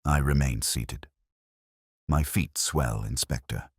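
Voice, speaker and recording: Man as a prim, guarded English spinster, low-voiced. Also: slow pace, breathy edge, dry recording, studio quality, heavily acted. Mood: defensive. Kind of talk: audio mystery story dialogue.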